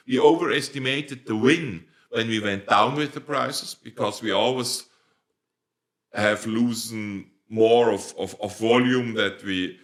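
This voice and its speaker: A man with a Swiss German accent, native in Swiss dialect, speaking English haltingly in an interview, often restarting and searching for words. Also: fairly low pitch, a bit bright. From Switzerland. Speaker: nursery company owner. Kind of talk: conversational interview.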